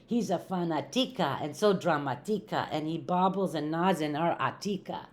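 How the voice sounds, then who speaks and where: A woman voicing a small, excitable male chihuahua in a storybook, dramatic, with mock-Spanish rhyming words. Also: sits low, normal speed, lightly acted.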